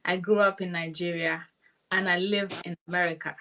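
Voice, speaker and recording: A woman's voice: lowish voice, steady tone, a little fast, fairly bright tone, rough recording. A woman in her thirties from Ghana with a Ghanaian accent, a nurse explaining things confidently as an interview guest. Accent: West African, Ghanaian.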